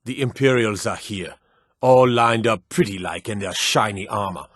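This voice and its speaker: A man playing a stern, battle-hardened military officer in a fantasy video game, brisk, commanding and gruffly matter-of-fact as he gives orders. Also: fairly low pitch, fairly bright tone, dry recording, clean studio sound. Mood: grim resolve.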